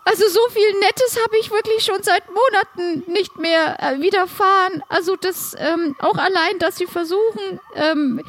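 A woman's voice: very high-pitched, measured pace, hardly any pauses. A woman playing a timid, easily moved shopkeeper in an improvised audio drama, stammering politely. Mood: overwhelmed, touched.

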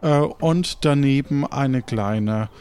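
Slow, hesitant man narrating a game. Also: medium pitch, a little mellow, smooth. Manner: hesitant, searching for words. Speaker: game master. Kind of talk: improvised narration of a tabletop game.

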